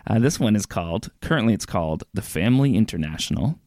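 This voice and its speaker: A man's voice: fairly low pitch, animated, fast, darkish tone, no room sound, clean studio sound. A young man co-hosting a podcast, telling stories in a laid-back way with lots of fillers and laughs. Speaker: podcast co-host. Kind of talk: casual chat.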